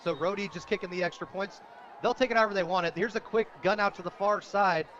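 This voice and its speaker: A man's voice: high-pitched, fast, fairly bright tone, a little hiss or hum. An American man doing live radio play-by-play of a football game as the announcer. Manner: reads sponsor plugs between plays. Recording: dull lo-fi outdoor radio broadcast.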